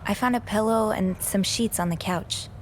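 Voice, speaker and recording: A woman playing an empathetic, curious young hotel maid in a video game, speaking in an earnest, gentle, thoughtful way. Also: mid-range voice, normal speed, a little hiss or hum, close to an everyday voice. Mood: confiding. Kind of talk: naturalistic video game voice acting.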